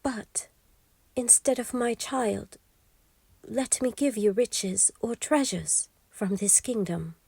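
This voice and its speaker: A woman's voice: fairly high pitch, slow pace, vocal fry, warm tone, husky, no echo, pitched above the performer's own voice, full character voice. A woman as an anxious, hesitant young queen. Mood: nervous, pleading. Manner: hesitant, earnest. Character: anxious young miller's daughter turned queen, pleading.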